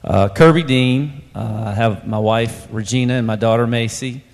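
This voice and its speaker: A man from the Shenandoah Valley speaks plainly on a church panel, with many small pauses. His voice sits at a middle pitch and rings through a reverberant sanctuary. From Shenandoah Valley, Virginia. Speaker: basketball coach, panelist. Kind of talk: personal testimony. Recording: hall reverb.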